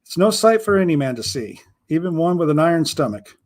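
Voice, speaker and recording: A man voicing a gruff, wary old male pirate with a rumbling baritone and a salty pirate-style accent, calling everyone lad. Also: sing-song, brisk. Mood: grim. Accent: pirate-style rustic accent.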